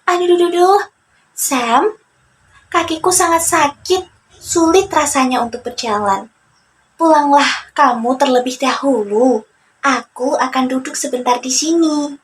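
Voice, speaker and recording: A woman's voice: high-pitched, measured pace, pauses often, a little hiss or hum, voice pushed up. A woman voicing a mischievous, careless male monkey in a children's fable, whiny and full of exclamations. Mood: whining in pain.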